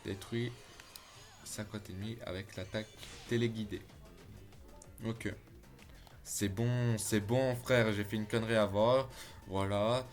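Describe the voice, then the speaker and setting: A man's voice: fairly low pitch, measured pace, pauses often, faint music behind. A man streaming a video game, chatting casually with his viewers and swearing when the game frustrates him. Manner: excitable, chatty, addresses chat as "les gars". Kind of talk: casual gameplay commentary.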